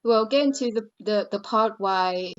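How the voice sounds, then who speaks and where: A woman's voice: medium pitch, a little slow. A woman from Thailand, a guest on a casual podcast, talking at length and thinking aloud with "uh" and "you know". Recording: dull lo-fi sound.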